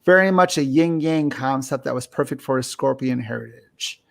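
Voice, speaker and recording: A man's voice: mid-range voice, moderate pace, vocal fry. A man hosting a podcast, talking casually as he discusses and reviews films. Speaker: podcast host.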